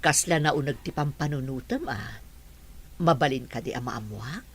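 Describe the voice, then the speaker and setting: A woman's voice: a bass voice, animated, moderate pace, breathy edge, heavily acted. A woman with a Filipino accent playing a caring middle-aged madam and mother in an audio drama, soothing and coaxing. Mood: motherly. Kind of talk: Ilocano audio drama acting.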